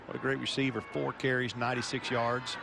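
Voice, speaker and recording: Male football analyst over loud stadium noise. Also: medium pitch, little pitch movement, fairly quick, creaky. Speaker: football color commentator. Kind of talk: live sports analysis commentary. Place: football stadium broadcast position. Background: loud stadium ambience.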